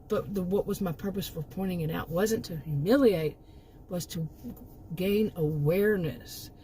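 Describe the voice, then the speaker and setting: A woman's voice: on the low side, a little slow, warm tone, a little hiss or hum. A middle-aged woman hosting her own channel, giving a rambling, emphatic opinion monologue with many restarts and asides while she is losing her voice. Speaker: commentary channel host.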